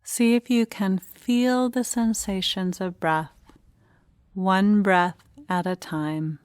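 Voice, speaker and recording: A woman leading a guided meditation, speaking in short, gentle phrases with unhurried pauses. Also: mid-range voice, steady tone, clear, resonant tone, no echo.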